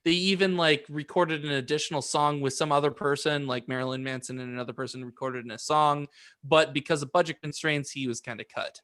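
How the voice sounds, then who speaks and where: A man with a fairly high-pitched voice co-hosts a podcast. He talks informally, in the casual back-and-forth of friends bantering. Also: steady tone, brisk, a bit bright, clear, resonant tone. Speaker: podcast co-host.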